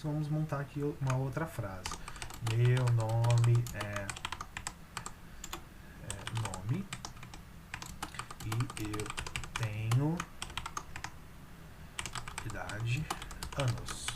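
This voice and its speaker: A man with a Brazilian Portuguese accent teaching programming in a relaxed, hesitant way, explaining step by step as he types code. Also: medium pitch, noisy background. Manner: relaxed, hesitant explaining with fillers while typing. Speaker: programming instructor. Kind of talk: screen-recorded coding lesson.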